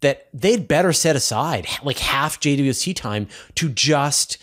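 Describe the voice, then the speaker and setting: Male science host, medium pitch, thinking aloud with enthusiasm in loose conversation. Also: lively intonation, a little slow, fairly bright tone. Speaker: host of a space science show.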